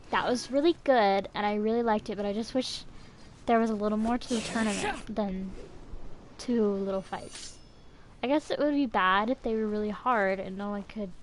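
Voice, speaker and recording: A woman's voice: medium pitch, normal speed, slight background noise. A woman giving casual gameplay commentary as a streamer, thinking aloud hesitantly and trailing off. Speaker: game streamer. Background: faint game music.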